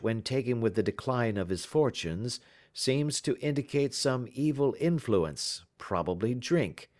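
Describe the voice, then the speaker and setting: Audiobook detective performed by a male reader: self-assured, precise, teasing, pompous, with very animated intonation. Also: medium pitch, lightly acted. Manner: crisp, lecturing, pompous. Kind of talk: audiobook character dialogue.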